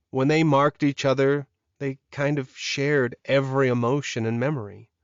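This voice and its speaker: A man's voice: medium pitch, dry recording, lo-fi sound. A man reading scripted fiction as an audiobook narrator, in a dramatic storytelling style.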